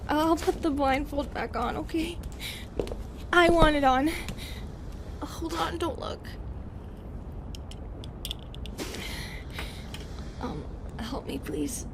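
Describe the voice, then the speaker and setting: A woman playing an anguished, remorseful female medusa in an intimate audio roleplay, tender and fragile, with a halting, emotional, pleading delivery. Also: high-pitched, background noise. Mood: subdued, tender.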